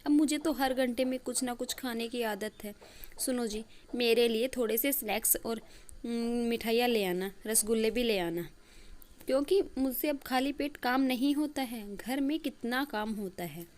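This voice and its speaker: Woman acting a high, whiny young daughter-in-law. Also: normal speed, darkish tone, natural voice. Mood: indignant complaining. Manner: complaining, indignant.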